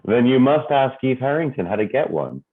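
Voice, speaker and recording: An actor playing a suave, cynical theater critic in a radio play, with a dry, deliberate, knowing delivery. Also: male voice, medium pitch, very animated. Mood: smooth, sardonic. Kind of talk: theatrical radio drama acting. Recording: thin phone-like sound, music behind.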